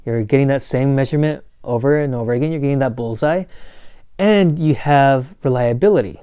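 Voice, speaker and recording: Male lecturer, slightly hoarse, mellow and smooth, casual with corny asides, dry close mic. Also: mid-range voice, a little fast, no echo. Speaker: college instructor. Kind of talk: recorded lecture.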